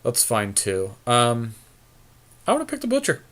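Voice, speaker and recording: A man's voice: mid-range voice, huge pitch swings, normal speed, fairly bright tone. Casual man thinking aloud over game picks. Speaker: podcast co-host. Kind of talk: casual card game draft commentary.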